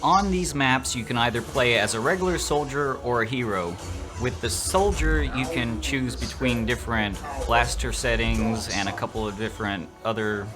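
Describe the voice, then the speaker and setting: A man's voice: mid-range voice, moderate pace, loud music behind the voice. A man narrating an opinionated video game review in an informal, slangy, sarcastic way.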